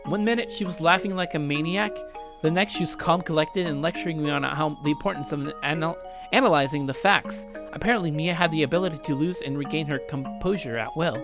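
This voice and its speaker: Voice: mid-range voice, a little fast, music in the background. A let's player reading a visual novel aloud in a dramatic way, acting out the dialogue and adding asides. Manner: dramatic, acts out dialogue lines.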